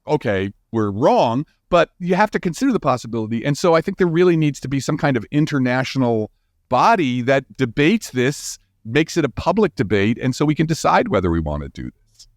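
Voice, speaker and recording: Male expert guest, mid-range voice with lively swings, casual explanatory delivery, emphatic, recorded dry. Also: mid-range voice, fairly quick, no room sound. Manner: animated, informal, emphatic, often checking with "right?". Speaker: guest expert, astrophysicist and author. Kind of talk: casual explanatory interview talk.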